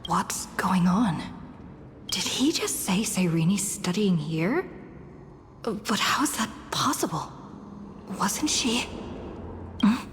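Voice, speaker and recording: A woman's voice: mid-range voice, lively intonation, takes it slowly, long pauses, slight background noise. A woman acting a pensive, questioning young adventurer in a video game, musing half to herself. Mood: puzzled, pensive. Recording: long reverb, music behind.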